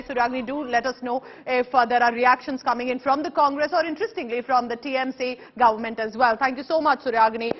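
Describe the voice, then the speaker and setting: A woman presents the news live as an anchor. Her voice is high and her delivery is emphatic. The audio is a little dull and lacks top end.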